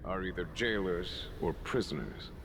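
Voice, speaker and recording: An actor playing a grave, menacing warden in a video game, speaking in a solemn, portentous way. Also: male voice, sits low, normal speed, noisy background. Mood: ominous, grave. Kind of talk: dramatic video game dialogue. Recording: long cavernous reverb.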